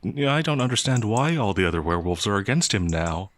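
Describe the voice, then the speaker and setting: A man playing a gentle, naive young peasant werewolf, hesitant and easily flustered, in a fantasy audio roleplay. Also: lowish voice, very animated, quick pace, a little hiss or hum. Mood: flustered, worried.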